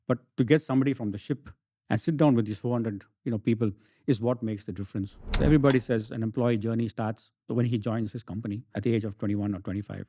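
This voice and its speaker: A middle-aged man with an Indian accent and a dark, mellow voice of medium pitch. He talks conversationally as an interview guest, making his points with emphasis and often tagging them with a questioning check-in. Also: steady tone, fast. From Bangalore, India. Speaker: interview guest, entrepreneur and author.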